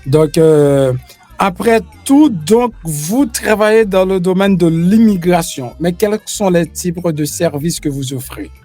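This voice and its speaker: A man from Haiti hosting an online interview, speaking haltingly with many fillers and restarts. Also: sits high, warm tone, faint music behind. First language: Haitian Creole. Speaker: YouTube host interviewing a lawyer. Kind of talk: casual interview.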